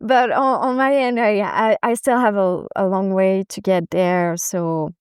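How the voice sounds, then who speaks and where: A woman guest on an interview podcast, answering in a measured, earnest and warmly enthusiastic way. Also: medium pitch, steady tone, clear, resonant tone, no echo, studio quality. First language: not Japanese. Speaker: podcast guest, venture investor.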